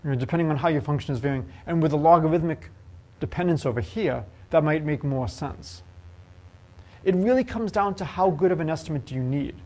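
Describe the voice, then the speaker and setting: A man's voice: on the high side, a little fast. A man giving a university math lecture, asking the class questions and joking with students. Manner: lively, asks the class questions and jokes. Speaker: math professor. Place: lecture hall.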